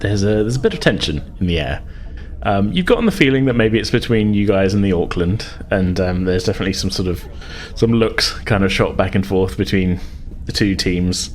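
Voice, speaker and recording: Low-voiced, halting male game master. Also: expressive, a little hiss or hum. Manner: halting, hesitant, pieces descriptions together. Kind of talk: improvised tabletop game narration.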